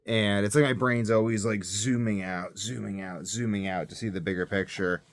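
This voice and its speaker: A man's voice: fairly low pitch, steady tone, moderate pace. A man talking casually in a rambling video diary, repeating phrases for emphasis, recorded on a phone set up nearby. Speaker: self-experiment health vlogger and parent. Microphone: phone set up close by.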